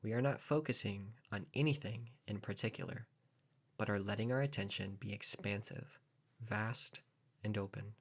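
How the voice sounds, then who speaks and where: Low-voiced man guiding a meditation. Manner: measured, deliberate phrasing with pauses. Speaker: meditation teacher. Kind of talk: guided meditation narration.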